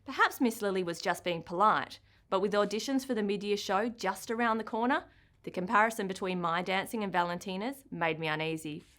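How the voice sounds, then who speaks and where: A woman with an Australian accent, an author giving an expressive first-person reading of her children's book. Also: mid-range voice, fairly quick.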